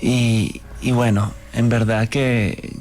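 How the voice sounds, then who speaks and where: A man from Venezuela, a painter invited onto a radio show, speaking earnestly and haltingly with repeated words. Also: mid-range voice, level tone, a little mellow. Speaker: guest painter. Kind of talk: radio interview.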